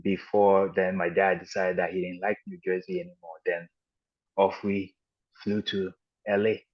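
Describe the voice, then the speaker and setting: Deep-voiced man telling his story haltingly. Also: level tone. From Ghana and the United States. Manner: halting and reflective, with frequent restarts and "you know". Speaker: podcast guest. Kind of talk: personal storytelling in an interview. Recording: dull lo-fi audio.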